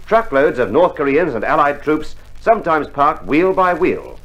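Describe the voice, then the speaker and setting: A man reads a scripted 1960s documentary narration in a measured, formal manner. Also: a little high, big pitch swings, fairly bright tone, low-quality recording.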